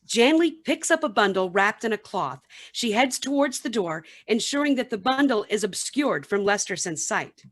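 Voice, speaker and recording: A woman's voice: mid-range voice, lively intonation, normal speed, few pauses. A woman reading scripted narration for a radio play, with some background noise.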